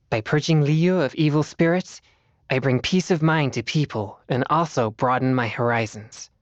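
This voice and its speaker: A man voicing an earnest, disciplined teenage boy exorcist in a video game, speaking in a formal, measured and slightly bashful way. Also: mid-range voice, no echo, highs cut off, natural voice. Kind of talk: video game character voice lines.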